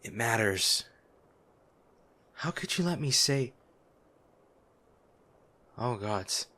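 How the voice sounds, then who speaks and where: A man playing a noble lady's gruff, protective bodyguard, blunt and emotional, in a dramatic fantasy audio roleplay. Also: mid-range voice, expressive, extremely slow, sharp tone, heavily acted. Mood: remorseful, pleading. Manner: exasperated, emotional, blunt, with sighs. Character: gruff, protective male bodyguard of a noble lady, scolding yet anxious.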